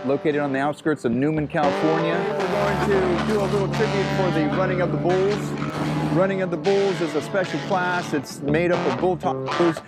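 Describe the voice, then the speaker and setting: A man hosts a casual video from an outdoor raceway, speaking in an upbeat, straightforward way. His voice sits slightly high. Loud guitar music plays under him. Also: normal speed, rough recording. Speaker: host. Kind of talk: casual on-location video intro. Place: outdoor dirt-track raceway.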